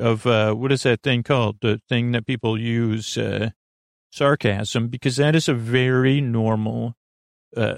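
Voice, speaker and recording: Creaky-voiced man rambling soothingly. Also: mid-range voice, dry recording, studio quality. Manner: hesitant, digressive, drawn-out soothing delivery. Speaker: podcast host. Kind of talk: rambling, meandering sleep podcast monologue.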